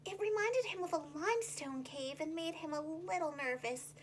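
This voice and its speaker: A woman telling a children's story in an animated, expressive teacher's style. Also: high-pitched, moderate pace, slight background noise.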